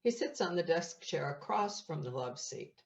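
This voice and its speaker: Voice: mid-range voice, sing-song, moderate pace. A narrator reading out scripted stage directions for an audio play in a plain, descriptive way.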